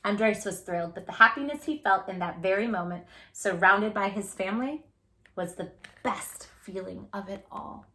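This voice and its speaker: A woman hosting a children's read-aloud, expressive and encouraging, emphasising words and chatting directly to young listeners. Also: mid-range voice, moderate pace. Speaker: storybook reader, channel host.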